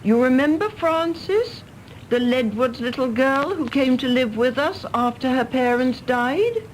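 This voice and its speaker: A woman's voice: high-pitched, animated, a little slow, a little hiss or hum, rough recording. An actress playing an outspoken elderly English mother, emphatic and genteel, affectionate but exasperated, in British radio drama style. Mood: patient, firm. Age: older.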